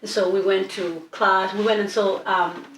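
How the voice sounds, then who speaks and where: A woman's voice: mid-range voice, little pitch movement, moderate pace. A young woman from East Africa, telling her story in a casual interview, chatty and full of restarts. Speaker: guest singer. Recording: echoey room, distant mic, faint noise.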